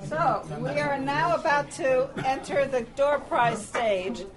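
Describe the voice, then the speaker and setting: A woman's voice: high voice, animated, slow pace, music in the background. A woman presenting a door prize informally to a group at a restaurant luncheon, practical and playful in her delivery. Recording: clear crowd chatter behind.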